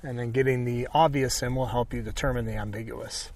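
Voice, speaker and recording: A man teaches painting in a casual, informal way, thinking aloud in short phrases as he works. His voice sits at an ordinary middle pitch. He is recorded a little way from the microphone. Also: fairly even intonation, fairly quick, darkish tone, distant mic. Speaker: painting instructor. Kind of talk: casual painting tutorial commentary.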